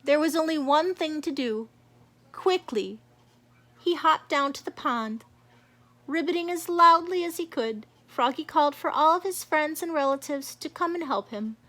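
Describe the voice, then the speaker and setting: A woman's voice: sits high, animated, moderate pace, sharp tone. A woman narrating a children's storybook as a read-aloud. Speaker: storybook reader.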